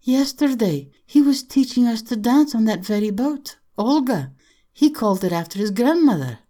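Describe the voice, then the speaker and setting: A woman's voice: a little high, sing-song, moderate pace, warm tone, voice pushed up. A woman voicing a young German woman in an audiobook, with a kind and practical way of talking. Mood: shocked, disbelieving. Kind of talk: audiobook character dialogue.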